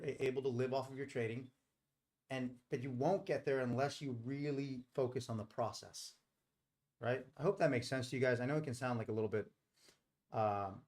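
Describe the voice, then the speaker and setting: A man's voice: medium pitch, a little mellow. A middle-aged man hosting a live stream, talking casually and explaining things in a rambling, informal way. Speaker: live stream host and trader.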